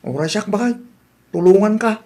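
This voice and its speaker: A woman's voice: medium pitch, moderate pace, warm tone, lightly acted. A woman playing an inquisitive spouse in a village household in a comedy radio drama.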